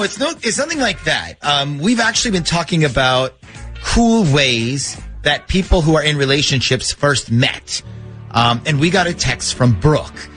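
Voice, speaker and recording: A man with a fairly high, very expressive voice hosts a morning radio show. He talks in radio prank-call banter. Faint music sits behind him in the studio.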